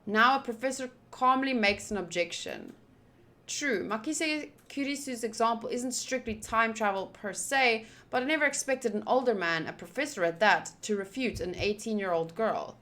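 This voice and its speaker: Bright, high-voiced woman reading a game aloud. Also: normal speed. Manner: expressive reading, breaking off into wry informal asides. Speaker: gaming video host. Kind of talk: visual novel playthrough, reading text aloud with casual commentary.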